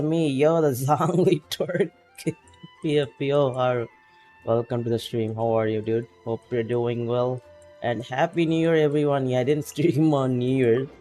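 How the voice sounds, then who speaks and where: A man's voice: mid-range voice, mellow. Laid-back man chatting over a game stream. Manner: laid-back, chatty, laughs often, greets chat by name. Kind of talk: casual gameplay stream chat.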